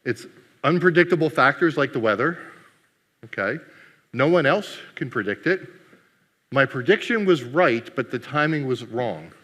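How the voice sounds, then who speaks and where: A man with a bright, forceful voice gives a playful keynote at a conference and teases the audience as he goes. His first language is Greek. The sound carries a long hall reverb. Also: medium pitch, animated, moderate pace. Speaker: conference speaker. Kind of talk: humorous keynote talk.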